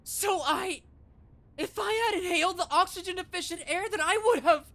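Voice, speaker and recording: Woman playing a very high-pitched earnest young officer. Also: normal speed, fairly bright tone. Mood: puzzled. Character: earnest, excitable young police officer, righteous and exasperated. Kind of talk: anime fan dub acting. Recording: voice over background music.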